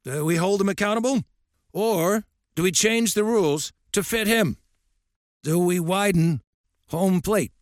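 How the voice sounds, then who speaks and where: A man voicing a gruff, fiery old baseball coach who barks and bellows at a crowd. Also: high-pitched, unhurried, long pauses, no echo, studio quality, pitched above the performer's own voice. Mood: barking. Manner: barking, bellowing.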